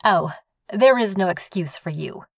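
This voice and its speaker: A man voicing a brash, sharp-tongued young woman neighbor in an audiobook, scolding and sarcastic. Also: high voice, expressive, moderate pace, dry recording, clean studio sound, pitched above the performer's own voice. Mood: indignant. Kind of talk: audiobook reading.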